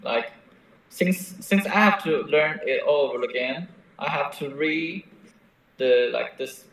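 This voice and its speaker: Young man, native Mandarin speaker, halting interviewee, distant mic, heavy room echo. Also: fairly high pitch, fairly bright tone. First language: Mandarin Chinese. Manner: hesitant, pauses with fillers. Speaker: interviewee, language teacher. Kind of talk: answering interview questions. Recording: very echoey room, mic far off, faint noise.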